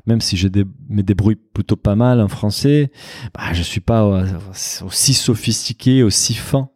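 Low-voiced man, Portuguese native speaker. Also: moderate pace, darkish tone, no room sound, studio quality. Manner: casual, hesitant, frank. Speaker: podcaster. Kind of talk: casual interview answer.

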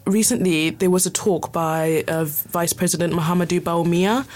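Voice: mid-range voice, steady tone, moderate pace, a little mellow. An interviewer asking questions and often giving brief affirmations.

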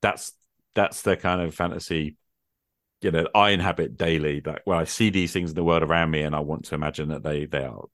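A man's voice: a bass voice, fairly even intonation, fairly quick, a bit bright, dry recording, clean studio sound. A man in a casual, reflective conversation, musing hesitantly and posing rhetorical questions as he goes. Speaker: podcast speaker, tabletop gamer.

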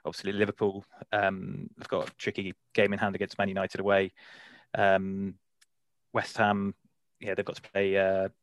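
A man's voice: deep voice, completely flat delivery, normal speed, no echo. A man co-hosting a podcast in casual chat, eager and hesitant, often repeating words. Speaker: podcast co-host.

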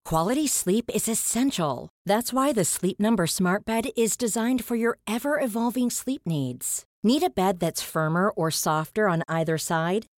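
A woman delivers a scripted commercial voice-over. Her pitch sits in the middle for a woman, and the recording is close and dry, with no room sound. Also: animated, moderate pace, few pauses, no echo. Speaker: advertising voice-over.

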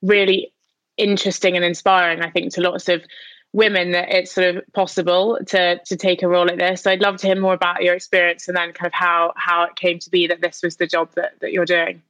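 Podcast host woman, low dry voice. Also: little pitch movement, brisk, fairly bright tone, no room sound. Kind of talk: casual interview conversation.

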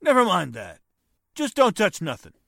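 Woman playing a gruff, swooping male detective. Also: fairly low pitch, wildly swooping pitch, moderate pace, fairly bright tone, no room sound, studio quality, heavily acted. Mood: gruff, suspicious. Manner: rough, slangy, ungrammatical wise-guy talk. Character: gruff, suspicious lowbrow male house detective. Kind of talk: 1940s radio drama acting.

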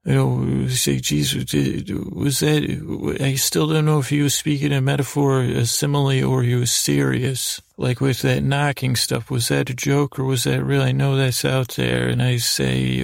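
Guy doing a sleepy-time podcast, super fry voice, rambling halting silly asides, dry close sound. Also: medium pitch, no room sound. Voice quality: creaky, gravelly. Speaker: podcast host. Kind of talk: rambling bedtime monologue meant to lull listeners to sleep.

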